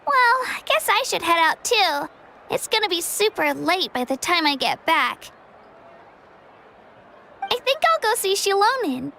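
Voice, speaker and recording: A woman's voice: very high voice, sing-song, measured pace, gravelly, pauses often, bright, forceful tone, a little hiss or hum, full character voice. A woman voicing an earnest, friendly young girl adventurer in an anime game, admiring and encouraging. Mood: friendly, casual.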